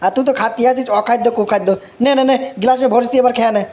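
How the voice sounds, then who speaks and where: A man's voice: very high-pitched, steady tone, quick pace, background noise. A man voicing a bossy, mocking young drinking buddy in a comic cartoon, scolding in an exasperated way with a rural Bangladeshi accent. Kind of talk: Bengali comic cartoon voice acting.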